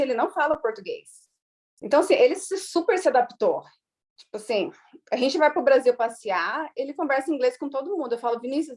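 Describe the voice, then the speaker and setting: A woman's voice: sits high, a little mellow. A woman from Brazil, a guest in an interview, telling family stories in a chatty way full of fillers and acted-out dialogue. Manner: chatty, many fillers, acts out quoted dialogue. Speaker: guest, immigrant mother. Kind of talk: casual interview storytelling.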